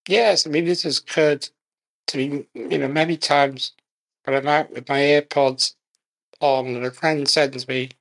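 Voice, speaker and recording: A man speaking as a guest in an interview, measured and unhurried, speaking in short phrases with pauses. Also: fairly high pitch, steady tone, vocal fry, fairly bright tone. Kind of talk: conversational interview.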